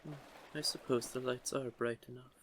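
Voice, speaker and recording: A man voicing a curious, teasing young merman in an intimate audio roleplay, with a gently formal, measured way of talking. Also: medium pitch, steady tone, slight background noise, lightly acted. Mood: curious, polite. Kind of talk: scripted audio roleplay, intimate one-sided conversation.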